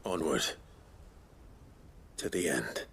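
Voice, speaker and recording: A man's voice: a bass voice, expressive, slow, slight background noise, full character voice. A man playing a brooding, determined warrior in a video game, grave and understated. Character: brooding, determined male warrior, loyal and sombre. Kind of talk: dramatic video game acting. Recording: loud background music under the voice.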